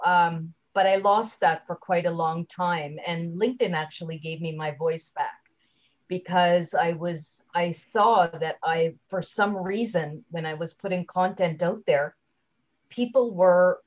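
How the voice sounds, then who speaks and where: Low-voiced woman in a lo-fi interview. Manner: chatty, halting, often leaves sentences unfinished. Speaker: guest, LinkedIn trainer and speaker. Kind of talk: conversational interview.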